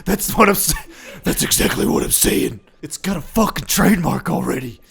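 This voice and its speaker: A crude, irritable Batman, put on by a man in an improvised podcast sketch, with a deeply gravelly, rough-edged voice and a heavily husky, raspy tone. He talks bluntly and sarcastically and swears freely. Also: animated, normal speed, fairly bright tone, full character voice.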